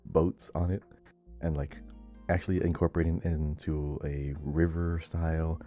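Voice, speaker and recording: Man, very low voice, podcast co-host, conversational game talk, soft music behind. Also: flat delivery, measured pace. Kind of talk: casual gaming podcast chat.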